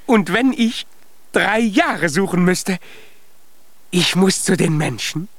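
A man's voice: high-pitched, expressive, slow, fairly bright tone, no room sound, full character voice. An actor in a children's radio play voicing a tiny, plucky boy giant who is eager and boastful, with an earnest, exclaiming delivery. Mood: eager, determined.

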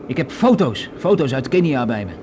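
A man's voice: mid-range voice, very animated, a little fast, noisy background, close to an everyday voice. An actor playing a cocky, pushy young man in a radio play, slangy and insinuating, with some hesitation. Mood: nervous, confrontational. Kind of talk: Dutch radio drama acting.

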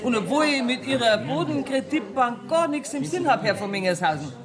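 An actress playing an earthy, bossy, self-assured woman banker with a Bavarian accent in a radio play, brisk and mocking. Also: sits high, expressive. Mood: dismissive, amused. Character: brash, self-assured woman banker holding court among tavern customers. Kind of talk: radio play acting in dialect. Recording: 1979 radio play, busy crowd behind.

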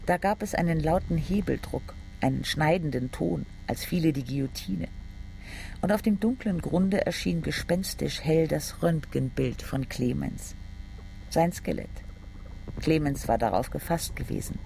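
Male narrator, literary radio drama, gravelly, slightly high-pitched, serious, deliberate. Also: a little mellow, slight background noise, poor audio.

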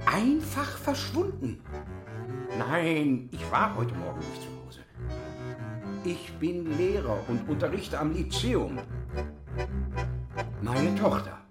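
A man's voice: on the high side, huge pitch swings, slow, pauses often, roomy sound, over music, full character voice. An actor playing an agitated, middle-aged French schoolteacher and stern, money-minded father in a radio play. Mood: upset, bewildered.

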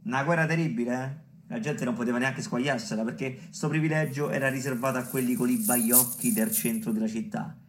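An actor playing an earthy, streetwise storyteller with a Roman accent, telling a tale dramatically in radio theatre. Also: male voice, mid-range voice, steady tone, brisk, natural voice. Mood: dramatic, earthy. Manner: dramatic, colloquial. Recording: very noisy, clicks.

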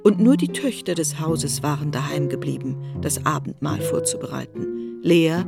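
A woman narrating a literary radio drama in a calm, measured manner. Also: sits low, creaky, over loud music.

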